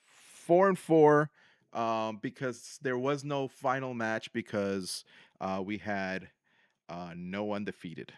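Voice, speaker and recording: Low-voiced man, casual podcast host. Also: takes it slowly, clean tone, dry recording, studio quality. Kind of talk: casual solo recap of card game decks and matches.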